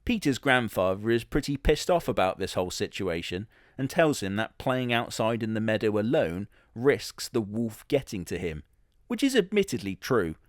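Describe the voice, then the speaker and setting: A man's voice: on the low side, no room sound, studio quality. Sardonic man, crude comic storytelling. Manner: sardonic, crude, swears casually. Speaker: comedy narrator in character.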